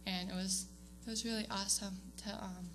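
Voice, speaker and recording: A woman's voice: mid-range voice, steady tone, fairly quick, slight background noise. A young woman giving a casual, hesitant speech to her church congregation, with frequent ums and small laughs. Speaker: student giving award speech. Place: church sanctuary.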